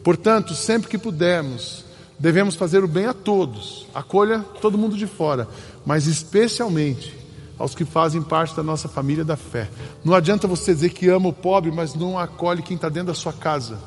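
A man with a Brazilian Portuguese accent preaching a sermon as pastor, telling stories earnestly with emphatic pauses. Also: on the high side. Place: church sanctuary. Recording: long hall reverb, faint ambient noise.

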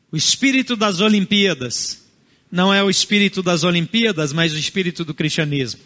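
A Brazilian man who first spoke another language at home, a pastor preaching an earnest sermon in short, measured phrases. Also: high voice, fairly bright tone, long reverb tail. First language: not Portuguese.

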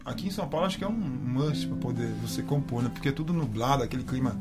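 Male guest, easygoing and quick, music under the voice. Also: medium pitch. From Cabo Frio, Rio de Janeiro state. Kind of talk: casual chat.

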